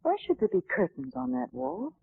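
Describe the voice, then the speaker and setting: An actress playing an inquisitive, easily spooked lady companion to a sleuth, in breathy, dramatic 1940s radio mystery style. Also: lowish voice, huge pitch swings, a little fast, dry recording, full character voice. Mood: uneasy, curious. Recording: muffled, crackly 1940s radio recording.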